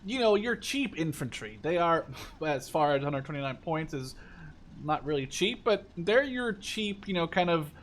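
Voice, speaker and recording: A man's voice: fairly high pitch, very animated, normal speed, fairly bright tone. A man in his twenties from the Netherlands giving a casual, rambling, enthusiastic game overview with informal language. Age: 20s. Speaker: gaming video creator. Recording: clear background noise.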